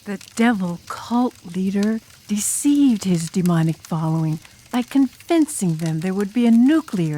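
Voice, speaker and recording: A woman voicing an enigmatic drum major student telling a demon horror tale in an audiobook, with ominous, drawn-out, dramatic delivery. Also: mid-range voice, mellow, a little hiss or hum, natural voice, rough recording. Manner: ominous, drawn-out, dramatic, sometimes dropping to a whisper. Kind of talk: audiobook ghost story narration in character.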